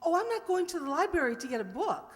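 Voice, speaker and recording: Woman playing a high-voiced, sentimental radio sleuth wife. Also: very animated, quick pace. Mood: earnest. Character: sentimental, excitable young wife turned amateur sleuth. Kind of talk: 1940s radio acting. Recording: echoey hall.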